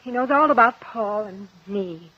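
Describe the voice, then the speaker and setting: An actress playing a guarded, on-edge doctor's assistant in a 1950s radio drama, brisk and curt. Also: female voice, fairly high pitch, breathy edge. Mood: tense, worried. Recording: dull, muffled 1950s radio recording.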